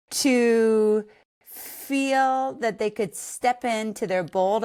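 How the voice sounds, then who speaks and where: A woman's voice: medium pitch, darkish tone, clean tone. A middle-aged woman from Canada, hosting an unscripted solo podcast in a conversational way that drifts into tangents and corrects itself. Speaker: podcast host.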